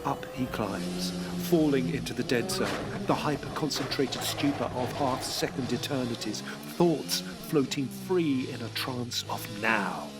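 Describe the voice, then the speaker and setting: A man's voice: mid-range voice, animated, a little slow, hardly any pauses, very noisy. A man giving scripted dramatic narration in an intense, rhythmic way. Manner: intense, rhythmic, second-person at times.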